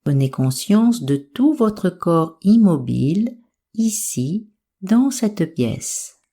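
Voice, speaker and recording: Slow, low-voiced woman guiding meditation, soft and breathy. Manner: calm, soothing, unhurried instruction. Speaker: meditation guide. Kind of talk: guided yoga nidra meditation.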